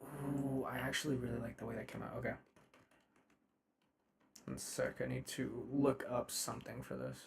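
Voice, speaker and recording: A man's voice: medium pitch, little pitch movement, normal speed, gravelly, pauses often, slight background noise. A man hosting a live behind-the-scenes recording stream, chatting informally and thinking aloud. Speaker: ASMR roleplay creator, live stream host.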